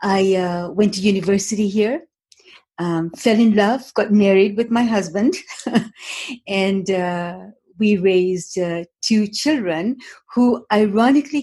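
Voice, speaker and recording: A middle-aged woman from Tanzania, a guest and author, telling her story in a measured, thoughtful way with frequent pauses and fillers. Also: mid-range voice, mellow, breathy edge. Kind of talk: interview conversation.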